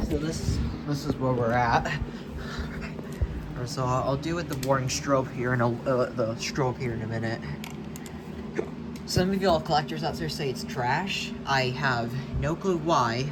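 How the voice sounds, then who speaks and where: A man talks slowly to himself, pausing often and filling the gaps with ums and uhs. He sounds unsure as he fumbles with a device. Loud noise hums under his voice the whole time. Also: medium pitch. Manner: hesitant, mutters to himself with many fillers. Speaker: vlogger unboxing a weather radio. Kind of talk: casual thinking aloud while unboxing.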